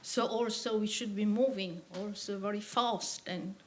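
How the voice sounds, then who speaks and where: A woman's voice: mid-range voice, steady tone, unhurried. Casting note: woman audience member, mid-range voice, plain and slightly hesitant.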